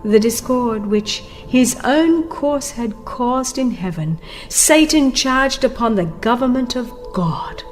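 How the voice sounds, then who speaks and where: Slow, solemn woman narrator over music. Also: fairly high pitch, expressive. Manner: solemn, emphatic, deliberate dramatic reading. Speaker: audiobook narrator. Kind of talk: dramatized scripted narration of a religious text.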